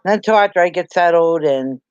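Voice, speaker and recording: A woman's voice: on the low side, a little fast, a little mellow, smooth, no room sound, lo-fi sound. A woman hosting a casual livestream chat, agreeable and laughing between her remarks. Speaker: livestream host.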